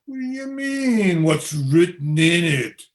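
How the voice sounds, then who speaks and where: A tired, skeptical husband in a radio drama, acted by a woman. The delivery is very slow and plain-spoken, with lively swings in pitch and a grumbling, exasperated edge. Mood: reassuring, skeptical. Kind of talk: radio play acting. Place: home, over a video call.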